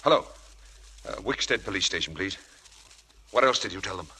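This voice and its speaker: An actor playing a suave, evasive English husband in a 1940s radio drama, with a husky voice and smooth, clipped talk. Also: male voice, low-pitched, lively intonation, a little fast, bright, forceful tone, exaggerated voice. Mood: polite, controlled. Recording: old radio broadcast recording.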